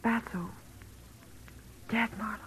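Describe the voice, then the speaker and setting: A woman's voice: a little high, fairly even intonation, takes it slowly, fairly bright tone, rough recording. A woman acting a desperate, fiercely loyal wife in a 1940s radio crime drama, emotional and insistent. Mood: wary, shocked. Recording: old radio recording with faint noise.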